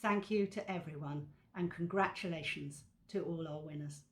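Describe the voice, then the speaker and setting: A woman with a slightly low voice presents an online awards event. She delivers a scripted speech of thanks in the role of presenter. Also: normal speed.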